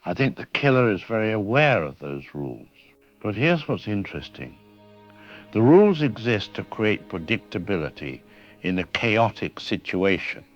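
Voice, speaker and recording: A man playing a professor interviewed as an expert in a radio drama, speaking in a measured, analytical way. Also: lowish voice. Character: grave male professor explaining a killer's mind. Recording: dull lo-fi radio sound with faint music.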